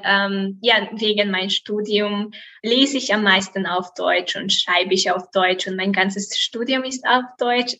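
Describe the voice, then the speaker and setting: A young woman in her twenties with a Hungarian accent, answering interview questions haltingly with many fillers. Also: mid-range voice. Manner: hesitant, many fillers, laughs softly. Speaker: guest, student.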